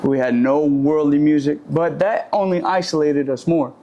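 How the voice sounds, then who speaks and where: A young man, raised in Puerto Rico, talks through his life story in a loose, candid way, often stopping to correct himself. His voice sits a little high for a man. A faint hum of room ambience stays under him. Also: fairly even intonation, normal speed, mellow, dull audio. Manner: candid, rambling, self-correcting. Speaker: guest, barber and shop owner. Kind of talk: casual interview storytelling. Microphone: handheld or shared mic.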